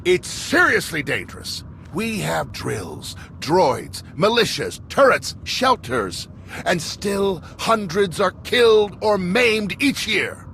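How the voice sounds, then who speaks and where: An actor playing an earnest, easily indignant official in a sci-fi video game, speaking in an urgent, combative way. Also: male voice, sits high, wildly swooping pitch, takes it slowly, vocal fry, fairly bright tone, slight background noise, heavily acted. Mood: earnest, worried. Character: earnest, indignant male official distrustful of corporate crooks. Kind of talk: video game cutscene acting.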